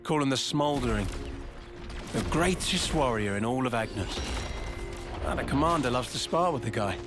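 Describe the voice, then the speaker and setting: A man's voice: mid-range voice, animated, moderate pace, close to an everyday voice. A man voicing a blunt soldier. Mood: easygoing. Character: blunt, easygoing adult male colony soldier. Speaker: video game character. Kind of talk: video game cutscene dialogue. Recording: game cutscene with music score.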